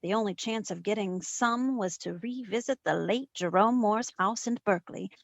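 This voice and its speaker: A woman playing a sassy, quick-witted private detective, narrating her case in old-time radio drama style. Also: medium pitch, gravelly, no room sound, lightly acted. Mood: wry, suspenseful. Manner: wry, wisecracking.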